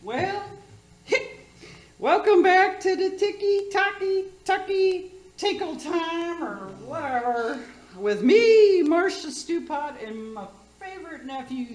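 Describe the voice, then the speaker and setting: A tipsy, bawdy auntie hosts a comedy radio show in a roomy, echoing space. Her highish voice swoops up and down. She gushes and rambles, tripping over her words with brash confidence. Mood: boozy, gushing. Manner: rambling, gushing, boozy word slips. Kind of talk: community theater radio comedy acting.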